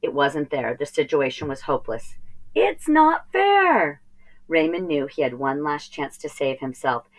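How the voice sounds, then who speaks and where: A woman with a low-pitched voice narrates a children's storybook. She reads aloud expressively, telling the tale for young listeners. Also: fairly even intonation, normal speed, hardly any pauses, low-quality recording. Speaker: storybook reader.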